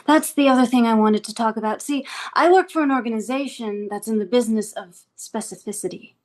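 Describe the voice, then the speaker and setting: A woman's voice: on the high side, close to an everyday voice. A woman playing an eerily composed, menacing interrogator. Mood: controlled menace. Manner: deliberate, formal, probing. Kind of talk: dramatic script table read. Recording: music playing behind the voice.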